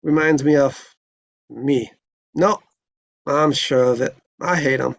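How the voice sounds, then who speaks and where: An actor playing a drunken, sardonic, sickly gunfighter gambler in a live western table read, with a gravelly voice and dull sound. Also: male voice, medium pitch, steady tone, slow pace.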